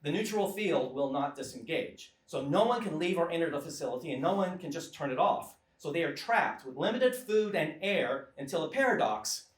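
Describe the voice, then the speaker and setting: A man's voice: on the high side, expressive, normal speed, hardly any pauses. A man presenting and narrating a screenplay at a live table read, informal and emphatic. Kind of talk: introducing a script to a live audience. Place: small live theatre stage. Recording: echoey theatre from a distance, noisy.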